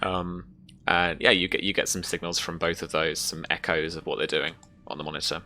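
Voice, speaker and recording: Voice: low voice, level tone, fairly quick, bright, forceful tone. A game master narrating a tabletop roleplaying game in a casual, improvised way. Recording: clear background noise.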